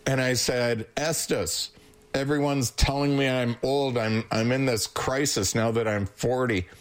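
A man's voice: mid-range voice, steady tone, measured pace. A man of seventy, a therapist and social worker, chatting on a radio show and telling stories with plenty of chuckles. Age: 70s. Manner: chuckling storyteller, teasing. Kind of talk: casual radio chat.

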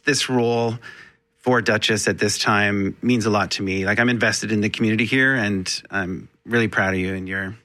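This is a man who runs a theatre, speaking in an effusive, gushing interview. His voice is bright and ringing, and his praise pours out. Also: lowish voice, steady tone, moderate pace. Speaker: artistic director of a theatre. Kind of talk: enthusiastic interview talk.

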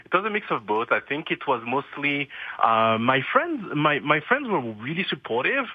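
A man's voice: a little high, sing-song, moderate pace, breathy edge, dry recording, phone-quality sound. A man from France, guest on a radio interview, talking earnestly with hesitant restarts.

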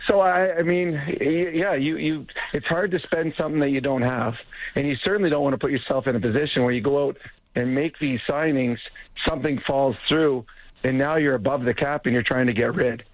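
A man with a slightly rough voice calling in as a sports analyst, rambling with restarts. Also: medium pitch, brisk, no room sound. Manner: rambling, restarts, laughs easily. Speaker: radio analyst guest. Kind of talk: phone-in sports chat. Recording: tinny phone line.

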